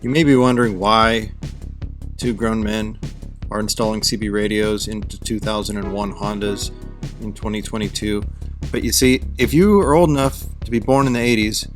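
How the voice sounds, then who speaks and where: A man's voice: fairly low pitch, fairly even intonation, moderate pace. A man in his forties narrating a casual DIY car vlog in a wry, jokey way. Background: background music.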